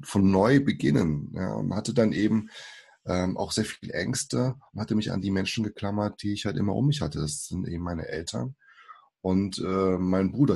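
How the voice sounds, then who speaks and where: A man in his forties, born in Brazil, speaks as a podcast guest. His voice sits very low with a gravelly, husky texture. He talks in a hesitant way, with many fillers and restarts. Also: darkish tone. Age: 40s. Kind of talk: casual interview talk.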